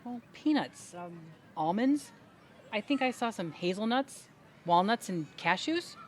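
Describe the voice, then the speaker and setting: An actress playing a patient, puzzled older sister in a comedic audio play, questioning with emphatic disbelief. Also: female voice, medium pitch, expressive, unhurried, slight background noise, lightly acted. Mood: bewildered, humoring. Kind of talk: comedic audio theater dialogue.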